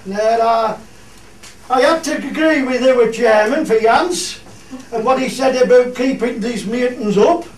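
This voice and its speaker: A man acting a plain-spoken, jocular fell farmer with a Cumbrian accent and a halting, folksy manner, in a dialect radio play. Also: very high voice. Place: theatre studio. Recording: echoey room, distant mic, faint noise.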